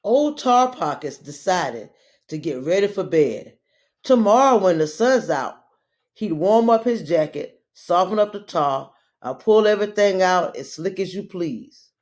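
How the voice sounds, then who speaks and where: A woman reading a children's story aloud in a dramatic, deliberate way, drawing out her phrases. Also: fairly low pitch. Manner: deliberate, expressive, drawn-out phrasing.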